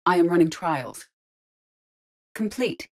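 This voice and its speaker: A courteous female artificial intelligence from a sci-fi video game. The voice sits low and stays composed. Each phrase is measured and exact, with formal wording and a dry, deadpan delivery. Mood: composed, matter-of-fact. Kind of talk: scripted video game dialogue.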